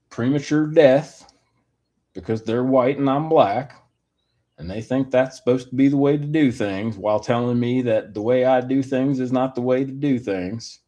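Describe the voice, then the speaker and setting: A man giving a rambling personal opinion monologue in a deliberate, halting manner with wordy, formal phrasing. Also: mid-range voice, warm tone.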